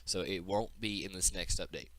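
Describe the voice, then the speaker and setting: A man's voice: low-pitched, moderate pace, a bit bright, no room sound. A man giving an informal, unscripted walkthrough of a game demo as its developer, explaining as he goes, with audible breathing into the mic.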